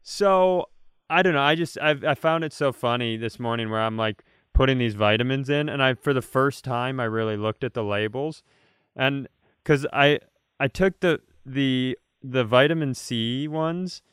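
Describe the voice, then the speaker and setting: A man's voice: mid-range voice, normal speed, a bit bright, smooth, no room sound, clean studio sound. A man co-hosting a comedy podcast, telling rambling, self-mocking stories in casual, playfully exaggerated banter.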